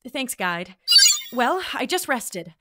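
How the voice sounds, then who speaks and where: A woman's voice: a little high, sing-song, takes it slowly, a bit bright, no room sound, studio quality, soft background music, full character voice. A woman playing an eager, impatient otherworldly agent, wry and informal, in a fantasy audio drama. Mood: wry, tired.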